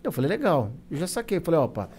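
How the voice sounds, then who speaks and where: A man's voice: a little high, very animated, fast, darkish tone, a little hiss or hum. A Brazilian man telling personal stories as an interview guest, in a colloquial, casual way with lots of quoted dialogue. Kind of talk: casual anecdotal storytelling.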